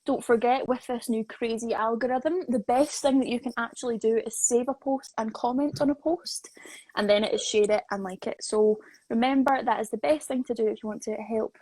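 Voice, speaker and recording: A woman hosting a casual live interview, playful and encouraging, with a husky voice. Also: a little high, brisk, darkish tone, dry recording, rough recording. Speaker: host, associate producer.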